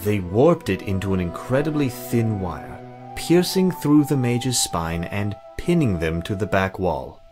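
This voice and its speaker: A man acts out a brooding visual novel hero with a low voice. He speaks solemnly and formally, protective and full of regret. Music plays clearly behind him. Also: very animated, a little slow. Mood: grave. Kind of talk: visual novel dialogue read aloud.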